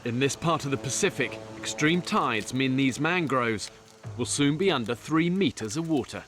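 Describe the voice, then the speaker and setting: Guy doing calm documentary voice-over, music playing under him. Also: mid-range voice. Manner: measured, informative. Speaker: narrator. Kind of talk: scripted documentary narration.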